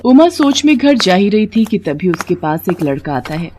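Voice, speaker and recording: A woman narrating a cartoon moral story in a calm, even manner. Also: medium pitch, moderate pace, a crowd in the background, noisy background.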